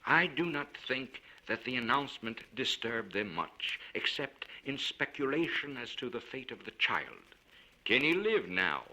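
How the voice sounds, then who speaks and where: A man reading a literary story aloud in a measured, formal and expressive way. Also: mid-range voice, poor audio. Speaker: audiobook narrator.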